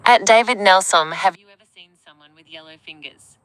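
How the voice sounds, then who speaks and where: A woman's synthetic voice reading out live chat messages in a flat, even way. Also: mid-range voice, a little fast, soft background music, low-quality recording. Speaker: text-to-speech chat reader.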